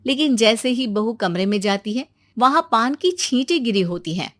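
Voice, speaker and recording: A woman narrator with a fairly high voice and very lively intonation. She reads a scripted story expressively, recorded close and dry. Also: moderate pace, no room sound.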